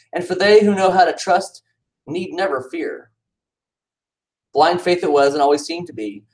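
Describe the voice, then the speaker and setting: A man at home chatting casually about his cat, then reading his own poems aloud with swooping, dramatic delivery. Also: poor audio. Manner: casual aside then dramatic poetry reading with pitch swings. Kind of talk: casual chat and poetry reading.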